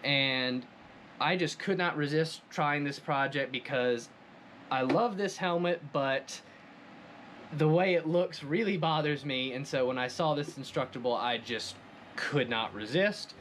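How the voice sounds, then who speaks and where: A man's voice: on the high side, a little slow, fairly bright tone, a little hiss or hum. A man hosting a hands-on craft tutorial, explaining each step in a casual, explanatory way. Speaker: host of a craft tutorial channel.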